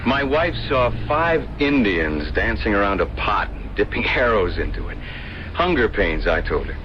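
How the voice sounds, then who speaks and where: A man's voice: on the low side, sing-song, normal speed. An actor playing an easygoing, teasing young husband, a polite and amiable man in an old radio drama. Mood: amused, teasing. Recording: dull lo-fi radio recording, vehicle noise.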